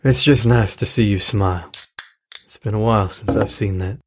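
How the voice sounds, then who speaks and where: An actor playing David, a gentle, grieving middle-aged father who calls his daughter hon. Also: on the low side, normal speed, a little hiss or hum, close to an everyday voice. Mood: tender.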